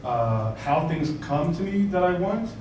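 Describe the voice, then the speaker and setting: A man's voice: fairly high pitch, lively intonation, measured pace. A man in a seminar audience informally sharing a personal experience, searching for his words as he goes. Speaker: seminar participant. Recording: very echoey, noisy room, distant mic.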